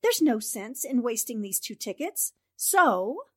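A self-assured lady reporter as acted by a woman speaks in a very high voice. She is brisk and decisive, in the manner of a 1940s radio play. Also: very animated, slightly rough, heavily acted. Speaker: actor. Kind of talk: 1940s radio drama acting.

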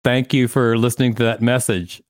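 A man's voice: medium pitch, flat delivery, normal speed, dry recording, studio quality. A man co-hosting a podcast, reading an article aloud and adding casual asides. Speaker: podcast co-host.

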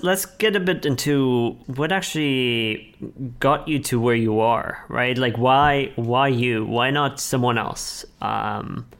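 A man's voice: medium pitch, takes it slowly, a bit bright, clean tone. Man interviewing with hesitant questions.

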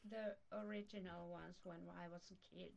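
A woman's voice: lowish voice, fairly even intonation, brisk, vocal fry, over a noisy crowd. A woman chatting casually as a podcast guest, giving brief, hesitant answers. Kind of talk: casual chat.

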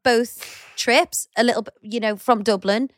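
A middle-aged woman with a Scouse accent, co-hosting a podcast in animated casual chat, swearing freely as she tells stories. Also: fairly high pitch, moderate pace, dry recording, clean studio sound. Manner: chatty, animated storyteller who swears casually. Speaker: podcast co-host.

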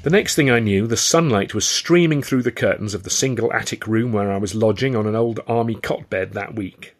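Husky British man narrating a story. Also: lowish voice, a little fast. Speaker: author reading his own novel. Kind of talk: scripted first-person audiobook narration.

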